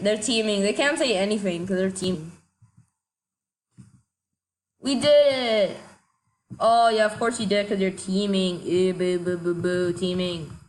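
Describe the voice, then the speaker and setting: Excitable woman trash-talking over gameplay. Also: medium pitch, a little hiss or hum, poor audio. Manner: excitable, quick to shout in frustration, trash-talking. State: a little sick. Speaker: gaming commentator. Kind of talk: casual gameplay commentary.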